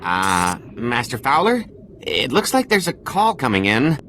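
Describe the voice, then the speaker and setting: A man's voice: mid-range voice, huge pitch swings, unhurried, sharp tone, slight background noise, exaggerated voice. A man playing an uneasy, cautious accountant sidekick in a video game, sounding worried and hesitant. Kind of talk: video game dialogue acting.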